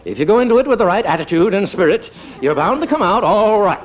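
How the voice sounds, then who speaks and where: Vain, sputtering sitcom father, wildly animated delivery, on a noisy, muffled 1950s radio recording. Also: sits high, fast talker. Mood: smug. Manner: grumbling, sputtering, exclamatory. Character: blustery, vain small-town doctor father, easily flattered.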